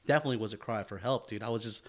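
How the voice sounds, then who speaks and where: A man's voice: fairly low pitch, very fast. A man co-hosting a podcast in a casual, reflective way, swearing freely and repeating himself, with "man" and "bro" thrown in. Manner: informal, profane, repeats phrases, peppers talk with "man" and "bro". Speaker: podcast co-host.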